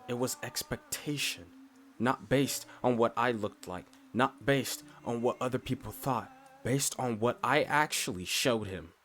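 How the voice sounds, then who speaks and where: Man voicing a slow-talking, blunt, stoic furry creature. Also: mid-range voice, fairly bright tone. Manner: measured, blunt, short deliberate phrases with pauses. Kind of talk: scripted ASMR roleplay monologue. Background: music.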